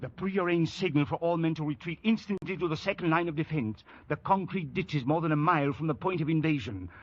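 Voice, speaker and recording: An actor playing an anxious commissioner who narrates a jungle ordeal in a formal, dramatic old-time radio style. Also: male voice, fairly high pitch, fast, heavy background noise, close to an everyday voice. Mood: grave. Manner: grave, dramatic, formal storytelling. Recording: dull old radio broadcast, music behind.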